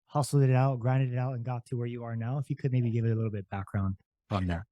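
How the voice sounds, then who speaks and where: A male podcast host who asks long, rambling questions in a relaxed, conversational way. His voice sits at a middle pitch. The recording is dry and close. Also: fast talker, no room sound, lo-fi sound. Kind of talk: casual interview talk.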